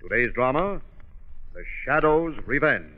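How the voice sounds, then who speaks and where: A man's voice: mid-range voice, big pitch swings, a little slow. A man reading scripted narration for a 1940s radio drama. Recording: tinny 1940s radio recording.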